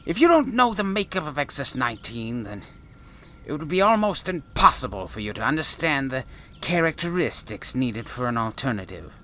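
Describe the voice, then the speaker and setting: A man's voice: medium pitch, sing-song, normal speed, bright, forceful tone, slight background noise. A man playing an eccentric, insistent space traveler and professor in a sci-fi audio drama, with a theatrical, wordy, formal manner. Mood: persuasive.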